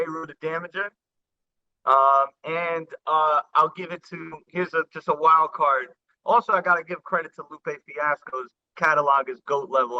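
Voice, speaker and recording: Bright-voiced man in his thirties, earnest. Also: on the high side. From New York and New Jersey area. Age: 30s. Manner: earnest, halting, with many restarts and "you know" fillers. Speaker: rapper guest. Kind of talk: casual interview storytelling. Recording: dull lo-fi sound.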